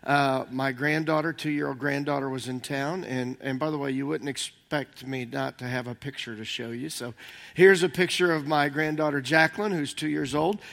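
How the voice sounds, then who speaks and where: An older man giving a conversational sermon as senior minister, slightly hoarse, rambling and halting with many fillers. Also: mid-range voice, fairly even intonation, fairly bright tone.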